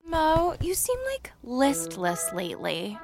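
A peppy cursed raven, once a princess. The delivery swings up and down in pitch, breezy and casual, over clear music in a comedy radio play. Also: female voice, big pitch swings, takes it slowly, exaggerated voice. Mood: perky, sympathetic. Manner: breezy, casual modern speech. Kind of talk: comedic audio drama acting. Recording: music behind the voice.

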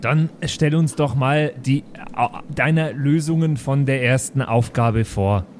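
A man playing an exasperated schoolteacher, halting and pleading, in an improvised radio play. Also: medium pitch, a little hiss or hum, voice pushed down, close to an everyday voice. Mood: patient, strained. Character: exasperated male schoolteacher struggling with unruly class.

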